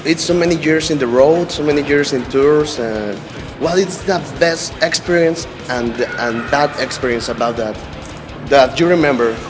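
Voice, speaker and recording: A man hosting an interview and asking questions in halting, hesitant English. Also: a little high, over music. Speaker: interviewer host.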